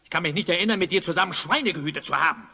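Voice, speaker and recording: A man's voice: high-pitched, very fast, noisy background. A man playing a vain, villainous wizard in a children's audio drama, pompous, imperious and gloating.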